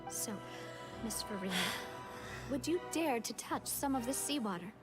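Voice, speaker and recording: Woman as young courtroom accuser, high voice, earnest, pointed, deliberate, anime game, music behind. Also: animated, close to an everyday voice. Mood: pointed accusation. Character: assertive young female amateur prosecuting attorney.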